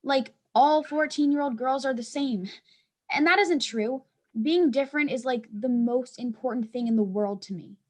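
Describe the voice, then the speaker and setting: Thoughtful teen schoolgirl, played by a woman, high voice, hesitant and casual, stage reading. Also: moderate pace. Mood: hesitant, earnest. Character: thoughtful, hesitant fourteen-year-old girl who prizes being different.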